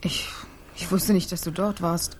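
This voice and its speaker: An actress playing a fearful, evasive young adult daughter in a radio drama, stammering and hesitant. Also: female voice, fairly low pitch, flat delivery, measured pace. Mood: anxious. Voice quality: breathy. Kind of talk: 1980s German radio drama acting.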